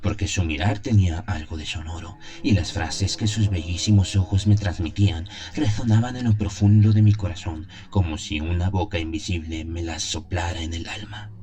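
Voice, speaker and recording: Male narrator for gothic audio fiction: very low, mellow voice, solemn theatrical delivery, music underneath. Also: normal speed, few pauses. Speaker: narrator of an audio horror story. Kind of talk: dramatic scripted first-person narration of a gothic tale. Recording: music behind the voice.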